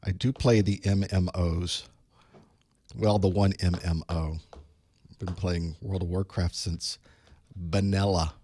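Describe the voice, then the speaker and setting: A man with a hoarse voice from a cold, chatting casually to his live stream audience while he sets up for an online class. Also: sits low, steady tone, slow pace, long pauses, dark tone, no echo. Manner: chatty, wry, talks to himself while setting up. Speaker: online course instructor. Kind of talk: casual pre-show chat to a live stream. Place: small studio desk.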